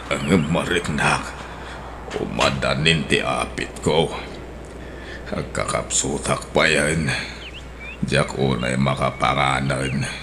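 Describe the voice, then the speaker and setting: An actor playing a generous, gravely ill man in his sixties who speaks weakly and slowly in a radio drama. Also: extremely low pitch, bright, forceful tone, slight background noise, heavily acted. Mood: grateful, weak. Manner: weak and slow, offering gifts cheerfully. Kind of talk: Ilocano radio drama acting.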